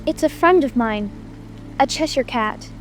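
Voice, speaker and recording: A woman's voice: high voice, lively intonation, a little slow, faint music behind. A woman playing an earnest, curious and prim young girl in a dramatic audiobook reading. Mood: polite, matter-of-fact. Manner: prim, plaintive.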